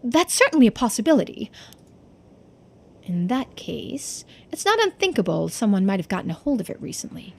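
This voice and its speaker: A woman voicing a composed, formal investigator in a mystery game, speaking in a measured, precise way. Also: on the high side, very animated, vocal fry, exaggerated voice. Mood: pondering.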